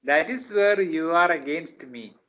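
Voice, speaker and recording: Older Indian-accented man, high bright voice, easygoing storyteller on a muffled call. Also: lively intonation, a little slow. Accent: Indian English. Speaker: interviewee. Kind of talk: casual video call conversation. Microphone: video call. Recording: dull lo-fi video call audio.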